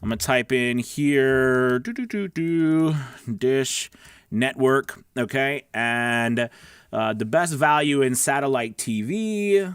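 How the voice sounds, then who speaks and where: A man's voice: medium pitch, slow, smooth, dry recording. A man coaching voice-over in a casual, chatty tutorial style, with emphatic, reassuring explanations on a close microphone. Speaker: voice-over coach and show host. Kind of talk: casual tutorial talk with demo script reads.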